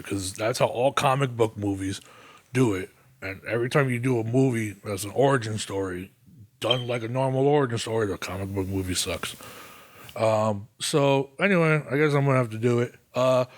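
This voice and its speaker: Guy in his 30s rambling on his own podcast, cussing a lot, lots of ums and likes, mid pitch. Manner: rambling, profane, self-mocking, with fillers. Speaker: podcast host. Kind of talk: casual solo podcast monologue.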